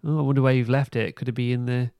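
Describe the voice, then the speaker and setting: A man hosting a podcast, giving a casual recap in an informal, halting way. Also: mid-range voice, steady tone, warm tone, clean tone, no room sound. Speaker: podcast host. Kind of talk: casual plot recap and review.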